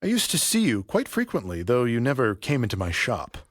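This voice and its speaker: An actor playing a polite, deferential, chatty barber in an audio play. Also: male voice, medium pitch, huge pitch swings, a little fast, fairly bright tone, dry recording, clean studio sound. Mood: calm, probing. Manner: polite, deferential, repeatedly saying sir. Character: deferential, chatty barber quietly stalking his customer. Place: professional recording studio.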